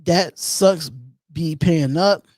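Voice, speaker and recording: A man's voice: a little high, a little mellow, dry recording, studio quality. Casual thirty-something male live-show host. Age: 30s. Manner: casual, repeats himself, drawn-out ums. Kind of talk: solo live call-in show, reading chat comments.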